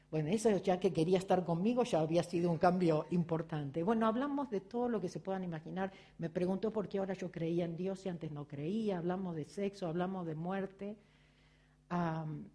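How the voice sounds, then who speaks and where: Argentine woman, mellow, reflective talk. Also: mid-range voice. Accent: Argentine Spanish. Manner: reflective, personal, addressing the audience with frequent rhetorical questions. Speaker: spiritual speaker. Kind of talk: motivational talk.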